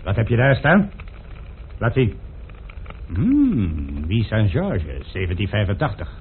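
An actor playing an unflappable, food-loving manservant with a fussy, deferential way of talking, in old Dutch radio drama style. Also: male voice, lowish voice, slow pace, full character voice. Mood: unruffled. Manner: fussy, expressive, obsequious to his master. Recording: tinny, noisy old radio play recording.

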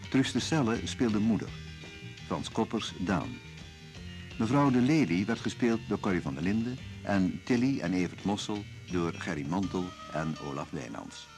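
A man's voice: sits low, lively intonation, moderate pace, darkish tone. A man with a Dutch accent reading scripted credits as a radio drama announcer. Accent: Dutch (Netherlands). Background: music.

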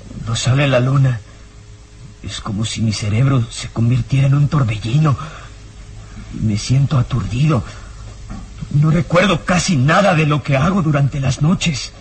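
An actor playing a tormented, frightened man under a villain's sway, anguished and halting, in a melodramatic radio drama. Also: mid-range voice, a little hiss or hum, natural voice. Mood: dazed, anguished.

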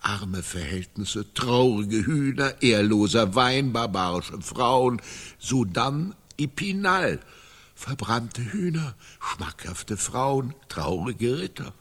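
An actor playing a blind, destitute old knight in a literary radio play, mournful and haughty, declaiming in clipped archaic phrases. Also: male voice, medium pitch, sing-song, slow, a bit bright, husky, full character voice.

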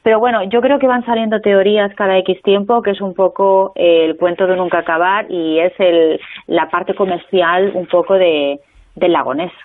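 Woman, Spanish accent, lowish voice, hesitant, phone-line sound. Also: fairly even intonation, moderate pace. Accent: Spanish (Spain). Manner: hesitant, many restarts, laughs often. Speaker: guest author. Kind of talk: phone interview.

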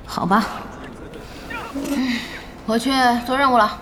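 A woman playing a feisty, impatient internet cafe boss in an audio drama, blunt and expressive. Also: a little high, takes it slowly, slight background noise. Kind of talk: Chinese audio drama acting.

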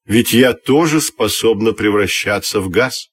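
A man's voice: fairly low pitch, a little slow, slightly rough, no room sound. A man voicing proud, indignant crude oil, a female figure in a children's fairy tale, speaking firmly and emphatically. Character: loud, indignant crude oil, sister of gas.

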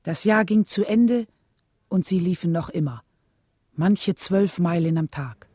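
An actress playing a devoted, steadfast preacher's wife who recalls the past in a radio play, composed and reflective. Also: female voice, on the low side, a little slow, mellow, breathy edge, dry recording. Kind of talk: radio play narration and dialogue.

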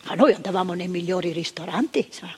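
An older actress playing a frank, earnest former psychiatric nurse in a stage monologue. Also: female voice, on the low side, fairly quick, breathy edge. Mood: earnest recollection. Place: theatre stage.

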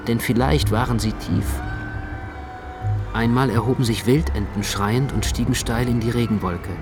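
A man narrating a literary radio play from a script in a measured, precise way. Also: mid-range voice. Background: music.

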